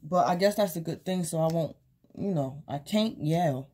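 A woman's voice: deep voice, moderate pace, warm tone, breathy edge. A tired woman who is losing her voice, giving a rambling, casual tarot reading at home with lots of fillers. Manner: rambling, loose, with many fillers and repeats. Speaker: tarot reader. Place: small indoor room at home, table of cards and crystals.

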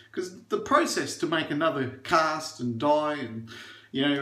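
A middle-aged man talks while he shaves, opinionated and rambling. His voice sits a little high for a man. The small bathroom rings with echo around him. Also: a bit bright. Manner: chatty and opinionated, rambling with fillers. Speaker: shaving reviewer. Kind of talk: casual chat while shaving. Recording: echoey bathroom.

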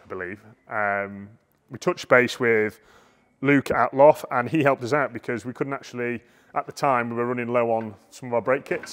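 A middle-aged man talks through the parts in a relaxed, chatty way, pointing things out as he goes. His voice sits mid-range and carries a long reverb from the big workshop around his lapel mic. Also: mid-range voice. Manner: chatty, explains parts hands-on. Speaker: workshop owner showing projects. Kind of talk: casual walkaround explanation. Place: large vehicle workshop, indoors.

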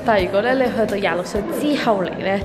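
A woman's voice: medium pitch, fast, a loud crowd. Cheery hong kong girl doing a travel vlog, phone held out, music under her. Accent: Hong Kong Cantonese. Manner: chatty, upbeat, lots of filler particles. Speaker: travel vlogger. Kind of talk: casual travel vlog to camera. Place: outdoors in old town streets and squares. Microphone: camera held at arm's length.

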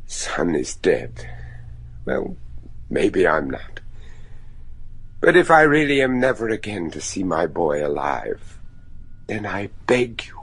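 An older, devout judge searching for his son in a gothic radio play. The husky voice is slow and grave, swinging widely in pitch, with halting, anguished theatrical phrasing. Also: fairly bright tone, no echo, heavily acted. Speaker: actor. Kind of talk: gothic horror radio drama acting.

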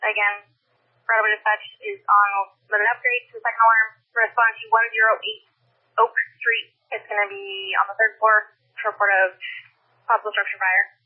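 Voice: mid-range voice, little pitch movement, moderate pace. A fire dispatcher giving clipped, businesslike read-backs over two-way radio. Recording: rough, narrow-band radio dispatch audio.

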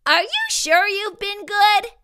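A woman voicing a smug, doubting little sister kitten in a children's storybook, with pointed, drawn-out phrasing. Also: extremely high pitch, wildly swooping pitch, sharp tone, pitched above the performer's own voice, heavily acted. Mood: smug, doubtful.

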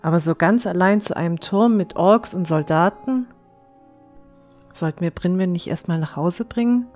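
Woman acting a gentle, low-voiced young heroine. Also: normal speed, faint music behind, close to an everyday voice. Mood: doubtful. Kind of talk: fantasy audio drama acting.

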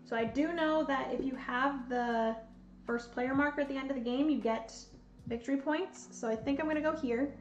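Brief: young woman streamer, hoarse from losing her voice, unhurried and thinking aloud, slightly high, roomy and dull home recording with soft music. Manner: slow, thinking aloud, counting pieces. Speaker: board game streamer. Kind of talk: casual live solo board game play-through. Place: home room with bookshelves, indoors.

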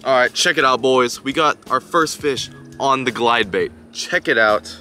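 A young adult man chatting casually to viewers in a slangy, excitable outdoor vlog style. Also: medium pitch, unhurried, faint music behind. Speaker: fishing vlogger. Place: outdoors beside a pond. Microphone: chest-mounted action camera or camera close in front. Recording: outdoor ambient noise on action camera.

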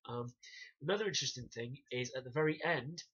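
A man, reviewing a documentary to camera in a small room, animated and demonstrating punches with wry sarcastic asides. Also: medium pitch, fast talker, husky. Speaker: self-defence instructor reviewing a documentary. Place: small room indoors, banner behind. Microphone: webcam at desk distance. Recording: dull lo-fi webcam audio.

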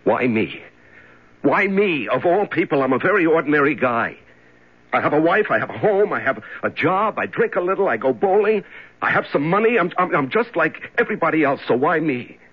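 A man's voice: fairly high pitch, very animated, brisk, breathy edge. Frightened, pleading everyman captive. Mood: desperate, bewildered. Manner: pleading, stammering, emphatic. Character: ordinary married man, frightened, baffled kidnap captive. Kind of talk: 1970s radio drama acting. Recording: muffled 1970s radio recording.

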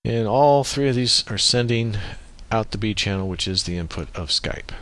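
A man giving an informal software tutorial, explaining methodically and thinking aloud as he works through the steps. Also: lowish voice, normal speed, a little hiss or hum. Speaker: tutorial presenter. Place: indoors at a computer, voice-over on screen recording.

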